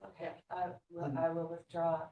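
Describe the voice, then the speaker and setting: Gravelly-voiced woman speaking from afar. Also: fairly low pitch, monotone, moderate pace, narrow, tinny audio. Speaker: applicant representative. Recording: echoey room, voice far from the mic.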